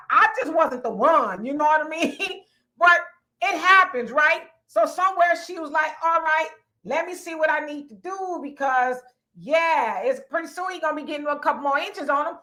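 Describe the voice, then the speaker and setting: Blunt, emphatic high-voiced woman. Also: pushed and bright, low-quality recording. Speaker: podcast host. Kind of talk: casual opinion talk.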